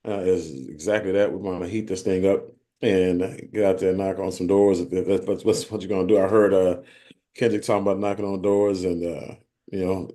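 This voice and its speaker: A man's voice: lowish voice, warm tone. A man hosting a casual motivational team call, rambling with many fillers and restarts. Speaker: host of a sales team call.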